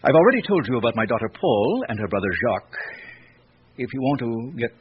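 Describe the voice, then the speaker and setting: An actor playing an eccentric, courteous middle-aged gentleman in a radio drama, speaking with theatrical precision. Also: medium pitch, sing-song, fairly quick, lightly acted. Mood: wry, frank. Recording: dull, noisy old radio recording.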